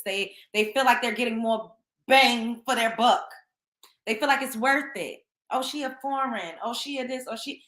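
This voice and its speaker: A woman's voice: on the high side, sharp tone, echoey room. A young woman, hosting a show and giving a blunt, scolding commentary monologue that repeats its points. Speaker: show host.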